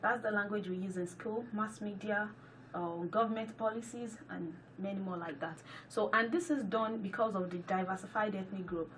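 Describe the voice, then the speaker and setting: A Nigerian-accented woman, Yoruba by first language, answers interview questions in a friendly, agreeable way, echoing the interviewer's words. Her voice is of middling pitch. She sits a little away from the mic, with a faint hiss in the background. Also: moderate pace, far from the mic. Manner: agreeable, echoes the host's words, frequent yeah. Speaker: guest professor.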